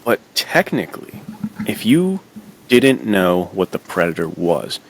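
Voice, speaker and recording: A man's voice: low voice, very animated, unhurried, a little hiss or hum, rough recording. A man co-hosting a podcast, chatting casually about films in slangy, buddy-like talk full of "dude" and "like". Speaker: podcast co-host. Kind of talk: casual film chat.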